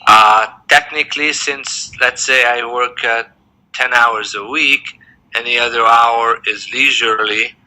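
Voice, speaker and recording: A man's voice: medium pitch, steady tone, a little slow, bright, forceful tone, poor audio. A man in his sixties who grew up in Hungary, answering interview questions in a thoughtful, hesitant way with many fillers and restarts. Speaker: interviewee, semi-retired dentist.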